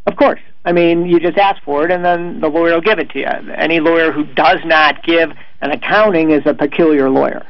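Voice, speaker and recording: A man's voice: fairly high pitch, steady tone, brisk, few pauses, highs cut off. A man as an attorney guest on a radio show, giving confident, matter-of-fact advice.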